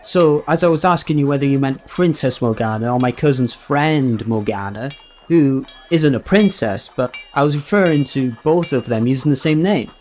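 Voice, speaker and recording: A man's voice: mid-range voice, lively intonation, normal speed, a little mellow, close to an everyday voice. A man playing an earnest, muddled underling to a knight in a comic radio play, hesitant, literal and rambling. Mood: earnest, confused.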